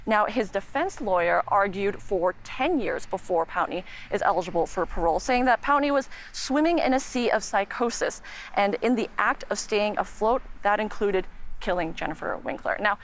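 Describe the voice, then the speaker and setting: A woman with a Canadian accent giving a measured, earnest live news report as a reporter outside a courthouse. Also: mid-range voice. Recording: clear background noise, outdoor ambience.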